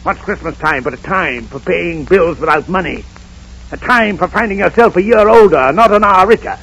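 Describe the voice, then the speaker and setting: A man's voice: high voice, normal speed, vocal fry, fairly bright tone, husky, exaggerated voice, low-quality recording. A man acting a bitter, miserly old employer with a sneering, curt manner in 1930s radio style. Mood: scornful.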